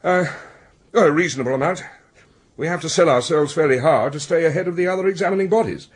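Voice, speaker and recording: An actor playing a proud, formal British academic administrator in a radio drama, precise and stuffy with some hesitation. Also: male voice, on the high side, fast talker, slightly rough. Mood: precise, explanatory. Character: proud, formal academic secretary of an exam board.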